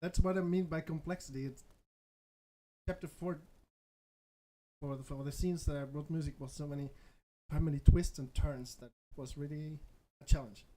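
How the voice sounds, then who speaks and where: A man who composes music, talking casually on a livestream and haltingly searching for his words. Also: on the high side, steady tone, warm tone, low-quality recording.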